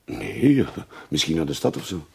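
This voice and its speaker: An actor playing a jovial, gruff middle-aged father with a Flemish accent and an animated, chummy way of talking in a radio drama. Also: fairly low pitch, moderate pace, no room sound, exaggerated voice. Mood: puzzled, dismissive. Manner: animated, colloquial, talks chummily. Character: jovial, gruffly cursing family father, dismissive of worry.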